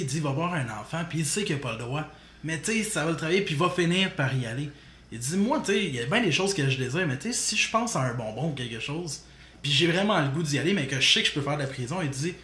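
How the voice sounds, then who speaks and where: Guy, kinda high voice, rambles thru stories w/ tons of fillers, echoey room. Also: a bit bright. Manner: storytelling, leans on "tu sais" fillers. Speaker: podcast co-host. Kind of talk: casual chat.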